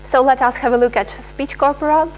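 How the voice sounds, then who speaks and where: A woman researcher giving a live software demonstration, pausing often with fillers and restarting her sentences. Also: high voice, steady tone, slight background noise. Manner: hesitant, many filler sounds and restarts. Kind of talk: live software demo talk.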